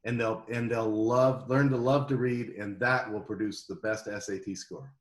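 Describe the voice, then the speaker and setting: A middle-aged man speaking as an interview guest, telling personal stories in an animated, conversational way. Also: fairly low pitch, moderate pace. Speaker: guest, homeschooling parent.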